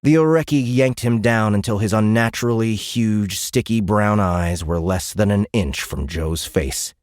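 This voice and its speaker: Male audiobook narrator, deep pitch, very dry close sound, dramatic scripted sci-fi storytelling. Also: measured pace, darkish tone, no room sound.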